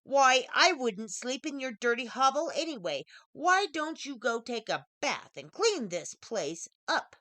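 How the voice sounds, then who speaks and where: Woman playing a gruff, arrogant young prince. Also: high voice, sing-song, a little slow, hardly any pauses, bright, forceful tone, no echo, exaggerated voice. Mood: indignant. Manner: gruff, haughty, indignant.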